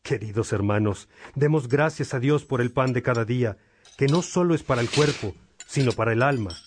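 A man playing a gentle, devout monastic father in a radio drama, speaking in a fraternal, reflective way. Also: mid-range voice, normal speed, noisy background, close to an everyday voice. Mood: devout, earnest.

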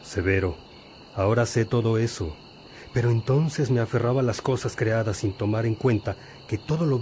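A man's voice: lowish voice, warm tone, a little hiss or hum, lightly acted. An actor playing a wise, patient older priest who teaches his disciples reflectively in a religious radio drama. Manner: reflective, didactic.

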